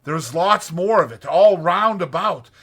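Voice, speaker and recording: Storybook badger, played by a man: hearty, kindly, opinionated, emphatic, pitched high with big forceful swings. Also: animated, measured pace, breathy edge, exaggerated voice. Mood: explanatory. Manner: emphatic, rhetorical, declaiming. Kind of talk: dramatized storybook reading.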